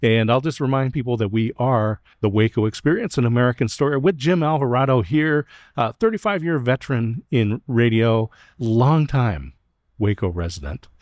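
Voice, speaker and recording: A man hosting an interview, asking thoughtful, steady questions. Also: mid-range voice, normal speed, dry recording, studio quality. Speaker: host. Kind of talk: interview host. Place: stadium club room.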